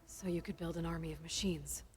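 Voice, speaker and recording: A direct young hunter heroine. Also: female voice, sits low, fairly even intonation, a little fast, darkish tone, slightly rough. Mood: steady. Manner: direct and inquisitive. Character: determined young female hunter hero. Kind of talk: video game dialogue. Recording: game audio with music.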